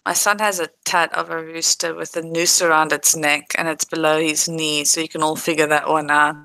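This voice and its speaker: A woman's voice: low-pitched, level tone, moderate pace, bright, forceful tone, dry recording, rough recording. A woman with a South African accent chatting casually on a livestream, laughing easily and answering viewers directly. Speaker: livestream host. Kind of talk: casual livestream chat reading viewer comments.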